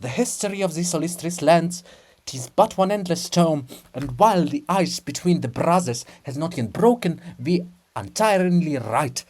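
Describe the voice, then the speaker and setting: A man's voice: on the high side, animated, moderate pace, a little mellow, voice pushed up. A man voicing a pompous, solemn monk chronicler monument, declaiming loftily with pathos in a dramatic reading of a farce. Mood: lofty.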